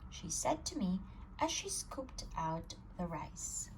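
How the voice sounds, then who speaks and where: A woman reading a children's picture book aloud at storytime, telling it with an expressive, swinging voice. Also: on the low side, takes it slowly, slight background noise, poor audio. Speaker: storytime reader.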